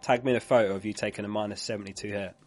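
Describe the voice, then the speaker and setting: A man's voice: fairly low pitch, fairly even intonation, racing, a little mellow, faint music behind. A man who is losing his voice, hosting a live fantasy football stream in a chatty way, reading out viewer comments and laughing along.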